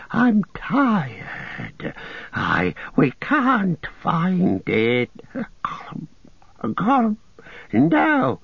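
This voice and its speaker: A man's voice: mid-range voice, vocal fry, breathy edge, dry recording, voice pushed up, exaggerated voice. A man voicing a wretched, split-minded creature who whines, hisses and shrieks. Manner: whimpering, shrieking, hissing. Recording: dull lo-fi dry recording.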